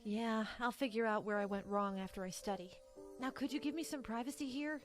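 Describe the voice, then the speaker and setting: A woman plays a proud young unicorn mare who lives for her studies, her voice fairly high. She speaks curtly and dismissively, with soft music behind her. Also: a little fast, close to an everyday voice. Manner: curt, dismissive.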